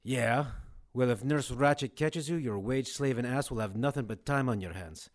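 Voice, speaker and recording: An actor playing an exasperated, nagging security guard with a gruff, blunt way of talking in an audio drama. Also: male voice, medium pitch, moderate pace, lightly acted.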